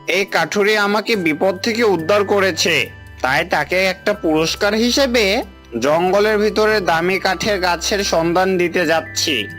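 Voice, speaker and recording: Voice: mid-range voice, unhurried, a bit bright, soft background music, natural voice. An actor voicing a grateful, friendly talking fox in a moral-story cartoon, courteous and earnest. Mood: grateful, eager.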